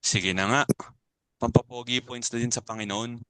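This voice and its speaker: A man's voice: mid-range voice, steady tone, moderate pace, fairly bright tone, clean tone, dry recording, lightly acted. A Filipino man playing a blunt, nosy, grumbling club uncle in a dramatic reading.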